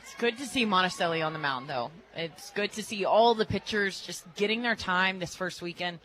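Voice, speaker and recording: Color commentator on sports radio, bright tone, chatty, thinking out loud, laughs along. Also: mid-range voice, normal speed, a little hiss or hum, poor audio. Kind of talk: casual booth banter on live sports radio. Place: stadium radio booth.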